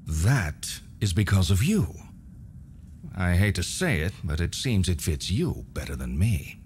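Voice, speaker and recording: Casting: low-pitched older male mentor, paternal and cautioning, expressive intonation, game cutscene. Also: measured pace, slight background noise. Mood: reflective. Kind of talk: video game cutscene acting.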